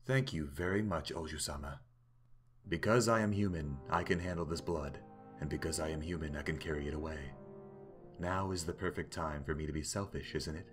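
A man's voice: a bass voice, a little fast. A man playing a devoted, composed young maid in an amateur comic dub, speaking earnestly and formally. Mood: tender resolve. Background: music.

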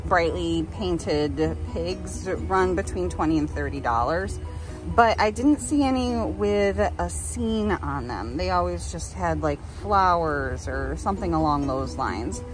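A woman's voice: lowish voice, lively intonation, slow, music in the background, poor audio. An American woman in her thirties chatting casually as a vlogger, recorded on a phone inside a parked vehicle. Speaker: vlogger and vintage reseller. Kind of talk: casual vlog chat.

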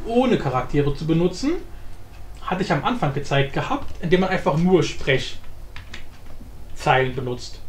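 A man giving a casual software tutorial, explaining informally and checking in with little tag questions. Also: high voice. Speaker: tutorial host. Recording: roomy echo, faint background noise.

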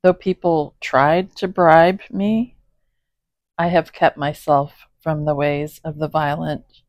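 A woman's voice: low voice, steady tone, slow pace, no room sound, highs cut off. A woman hosting a home video, chatting casually and reading a psalm aloud, with chatty apologetic asides. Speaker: host reading scripture. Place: home, indoors, voice behind camera filming a cat.

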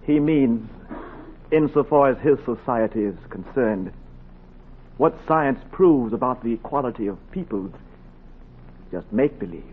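An actor playing a dignified, composed young doctor in a 1940s radio drama, speaking in a measured, articulate, polite way. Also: male voice, medium pitch, close to an everyday voice. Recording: narrow-band, faintly noisy 1940s radio recording.

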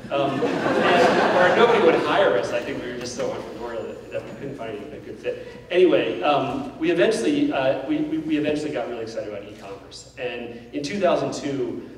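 Fast-talking man in an echoey hall. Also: medium pitch, distant mic. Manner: animated, gestures while pacing the stage; candid, self-deprecating. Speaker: keynote speaker. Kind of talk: conversational keynote storytelling. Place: large indoor auditorium stage. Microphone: handheld mic.

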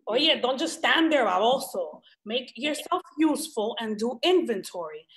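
A woman's voice: a little high, very animated, moderate pace, a little hiss or hum, poor audio. A woman playing a brusque, sassy kitchen cook who mixes English with Spanish, in a table read. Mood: bossy. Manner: brusque, teasing. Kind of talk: casual dramatic table read. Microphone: video call.

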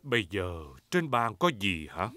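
A man's voice: fairly low pitch, very animated, unhurried, pushed and bright, exaggerated voice. A man voicing a jolly, patient father pig in a children's cartoon dub, playfully explaining things with a southern Vietnamese accent. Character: jolly, patient daddy pig playing along.

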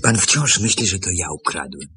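An actor in a radio play as a self-assured, prosperous man, speaking in a measured, emphatic way and repeating his phrases. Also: low voice, lively intonation, pushed and bright, slight background noise. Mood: proud, pointed. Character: self-assured, deliberate man, returning prosperous former customer.

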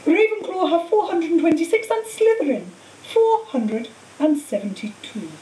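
Storybook role: woman as kindly old headmaster, ceremonial and measured, swooping intonation, echoing hissy recording. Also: extremely high pitch, sing-song, warm tone, voice pushed up. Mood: cheerful, ceremonial. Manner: measured, ceremonial speech-making. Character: kindly, cheerful, whimsical old male headmaster. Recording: hissy, roomy, distant microphone.

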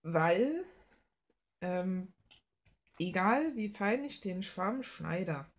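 Low-pitched young woman, casual rambling. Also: expressive, drawn-out words. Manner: informal, self-deprecating, mildly crude. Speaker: hobby artist and video host. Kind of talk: casual rambling art process commentary. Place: small cluttered room at home, indoors.

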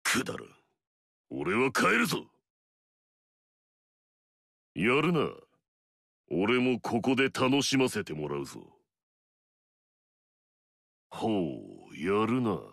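A man's voice: mid-range voice, big pitch swings, measured pace, gravelly, fairly bright tone, dry recording, clean studio sound, full character voice. An actor playing an arrogant, fierce male warlord in a video game, swaggering and barking battle cries.

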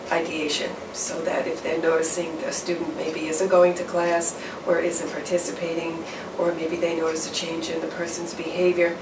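A middle-aged woman answering interview questions as a campus counselor, measured and explanatory, with frequent fillers and restarts. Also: deep voice. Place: office or studio in front of a backdrop. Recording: roomy, distant mic with background noise.

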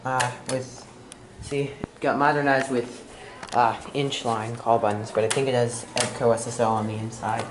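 A man giving a casual, halting commentary on a handheld camera, trailing off and correcting himself as he goes. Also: mid-range voice, flat delivery. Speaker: elevator enthusiast filming a tour. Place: inside and outside a department store elevator. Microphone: handheld camera held by the speaker. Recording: roomy echo, loud mechanical ambient noise.

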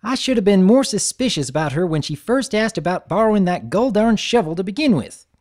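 A man's voice: sits high, lively intonation, fairly quick, darkish tone, voice pushed up. A man doing a folksy Southern farm mare. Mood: hearty. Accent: Southern US drawl put on. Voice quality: folksy, drawling. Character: hardworking cheerful adult farm pony mare.

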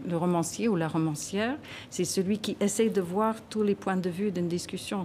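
Woman, 60s, from Canada, guest author in a TV studio, low voice, faint ambience. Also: dark tone, slight background noise. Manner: thoughtful, emphatic, gesturing while talking. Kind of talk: TV studio interview. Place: TV news studio. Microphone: desk microphone.

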